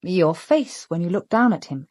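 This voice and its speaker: A perceptive British woman sleuth, speaks in a slightly low voice with measured, deliberate phrasing. The sound is dry and studio-close, and her manner is calm and probing. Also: no echo. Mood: quietly probing. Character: perceptive, composed young woman amateur detective. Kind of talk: radio drama acting.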